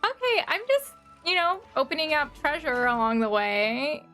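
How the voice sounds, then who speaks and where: A woman's voice: high voice, big pitch swings, moderate pace, bright, forceful tone. A woman streaming a game, giving casual live reaction commentary in short remarks. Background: faint game music.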